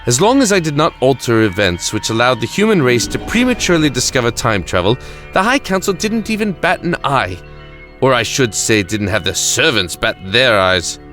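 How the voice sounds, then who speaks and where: A man's voice: mid-range voice, sing-song, moderate pace, a bit bright, soft background music. A man playing a weary, grandiose time-traveling alien emperor in an audio drama, self-justifying and reflective. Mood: proud, reflective.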